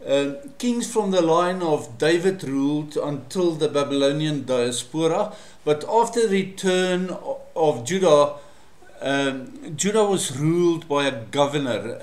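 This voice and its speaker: Afrikaans-man teaching in an echoey room. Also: a little high. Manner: measured and explanatory, with frequent fillers. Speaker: Bible study presenter. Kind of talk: solo teaching monologue to camera.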